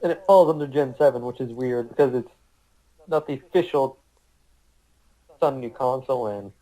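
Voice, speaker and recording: A man's voice: medium pitch, normal speed, dark tone, poor audio. A man talking in a casual, conversational way. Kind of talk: casual chat.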